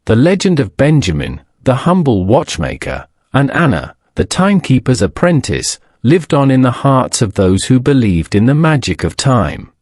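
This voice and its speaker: A man reading a scripted bedtime story as a narrator, in a measured, solemn storybook manner. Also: medium pitch, a little mellow, no echo, studio quality.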